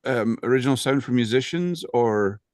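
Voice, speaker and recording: A man's voice: mid-range voice, moderate pace, a little mellow, no echo, clean studio sound. A man chatting and explaining casually on a video call, in a hesitant, stop-and-start way. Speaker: co-host.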